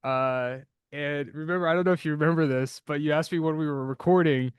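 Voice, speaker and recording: A man's voice: fairly high pitch, steady tone, fairly quick, smooth, dry recording, studio quality. A man hosting a podcast interview in a casual, chatty, rambling way. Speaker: podcast host.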